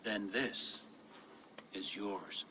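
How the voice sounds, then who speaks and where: A grave old village elder in a fantasy game drama, resigned and tender. He speaks very slowly, weighing each phrase, over clearly audible background noise. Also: fairly low pitch, steady tone. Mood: pleading, quiet. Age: older. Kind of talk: dramatic game cutscene acting.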